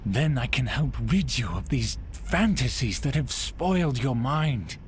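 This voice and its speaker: An actor playing a commanding older general, a self-assured villain in a video game, speaking grandly and formally. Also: male voice, mid-range voice, animated, measured pace, slight background noise, natural voice. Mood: intense, persuasive. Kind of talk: dramatic video game acting.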